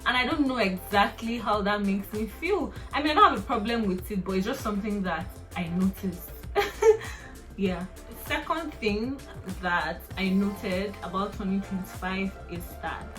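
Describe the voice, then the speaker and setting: Young Nigerian woman, mid-range voice, casual talk, quick laughs, faint music bed. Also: medium pitch, fairly bright tone. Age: 20s. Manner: chatty, rambling, laughs often. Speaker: vlogger. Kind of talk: casual personal vlog.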